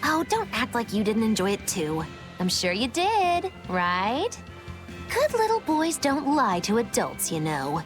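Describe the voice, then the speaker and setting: A woman's voice: mid-range voice, very animated, normal speed, few pauses, music in the background, lightly acted. A woman playing a sly, flippant, teasing woman in dubbed video game dialogue, playful and mocking.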